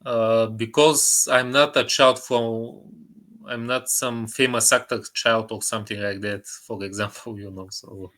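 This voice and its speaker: A man's voice: mid-range voice, steady tone, measured pace, fairly bright tone. A man with a Bulgarian accent chatting casually as an interview guest, often repeating short phrases for emphasis. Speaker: guest, indie filmmaker.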